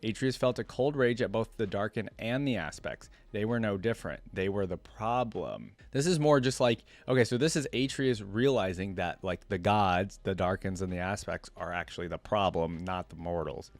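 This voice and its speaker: A man hosting a reaction video, switching between reading aloud and casual, rambling commentary as he thinks out loud. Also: fairly low pitch, moderate pace, few pauses, no room sound.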